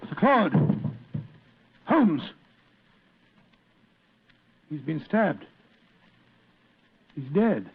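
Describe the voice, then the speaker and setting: An actor playing a blustering, fretful older British gentleman sidekick in a radio drama, stammering and repeating his words in a gravelly voice. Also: fairly high pitch, big pitch swings, drawn-out words, long pauses, exaggerated voice. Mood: alarmed. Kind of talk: old-time radio drama acting. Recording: tinny narrow-band old radio recording.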